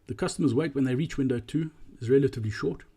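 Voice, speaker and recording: A man narrating a walkthrough presentation in a measured, explanatory way. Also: medium pitch, warm tone, slightly rough.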